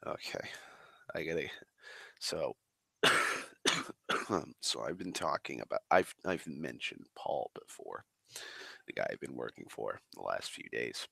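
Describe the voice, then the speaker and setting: A man rambling slowly on a stream, swearing often and sighing, a little congested as he gets over the flu. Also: a bass voice, animated, pushed and bright, no room sound, clean studio sound. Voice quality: gravelly. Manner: swears a lot, drawling, slow and sighing. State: recovering from flu, slightly congested. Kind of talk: rambling casual monologue. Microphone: desk microphone.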